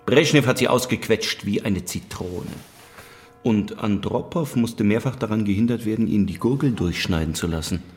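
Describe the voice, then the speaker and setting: An actor playing a calculating Soviet party leader in a radio drama, speaking in a formal, measured, commanding manner. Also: male voice, lowish voice, lightly acted. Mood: formal, wry. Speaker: supporting character.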